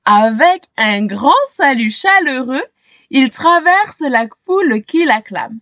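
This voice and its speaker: A woman narrates a children's storybook in a high voice. The reading is expressive and dramatic, at a slow, deliberate pace. The recording is dry and close. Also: dry recording, muffled recording. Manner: dramatic, pauses to question young listeners. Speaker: storytime reader.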